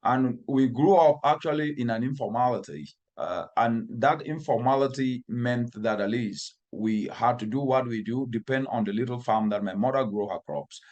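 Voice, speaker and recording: A man with a Cameroonian accent speaks as an interviewed expert at a middling pitch. He tells things earnestly, leaning on repeated phrases to build emphasis. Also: moderate pace, few pauses, darkish tone, highs cut off. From northwestern Cameroon. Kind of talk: earnest interview answers, storytelling.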